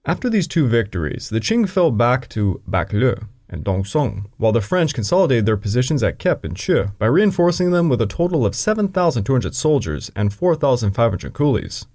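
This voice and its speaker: A man's voice: medium pitch, very animated, moderate pace, few pauses, darkish tone. A man narrating a scripted history podcast, with dry joking asides. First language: English. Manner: dry asides breaking into the reading.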